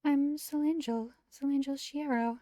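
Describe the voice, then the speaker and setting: High girlish voice, acted by a woman, gentle, shy, formally polite, visual novel character, dry sound. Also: fairly even intonation, measured pace, no echo. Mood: polite, calm. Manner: formal, courteous phrasing.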